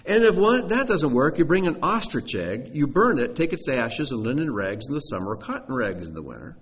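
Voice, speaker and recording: A man delivers a teaching sermon with a mid-pitched voice that moves freely through a wide, lively range. He presses hard on key phrases, then sinks almost to a hush. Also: normal speed. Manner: animated, emphatic teaching with sudden drops to near-hushed voice.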